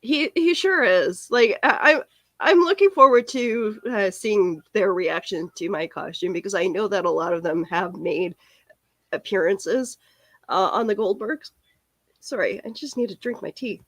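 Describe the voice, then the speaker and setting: A woman's voice: mid-range voice, lively intonation, unhurried, a bit bright, no room sound. A young woman with a cold and a cracking voice, hosting a live stream in a rambling, chatty way. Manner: rambling, chatty, answers viewer comments. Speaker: live stream podcast host. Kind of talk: casual live stream chat.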